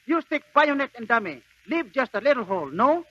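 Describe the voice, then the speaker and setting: Polite, insistent young recruit, animated, piercingly high, 1940s radio drama, muffled recording. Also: male voice, brisk, no echo, full character voice. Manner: broken English, polite, insistent. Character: eager, polite, stubborn young Filipino military recruit.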